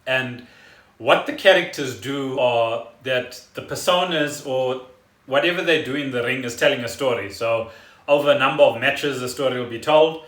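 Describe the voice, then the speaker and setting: A man co-hosting a casual podcast, explaining things in an animated, storytelling way. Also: mid-range voice, moderate pace. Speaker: podcast co-host. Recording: roomy echo, faint music behind.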